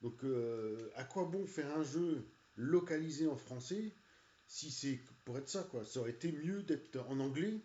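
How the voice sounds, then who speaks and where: A man's voice: mid-range voice, expressive, measured pace, warm tone. Annoyed, hesitant man in an echoey room. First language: French. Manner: hesitant, many "euh" and "voilà", annoyed. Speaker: video game reviewer. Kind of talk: casual rant while playing a game. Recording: echoey room, dull lo-fi sound.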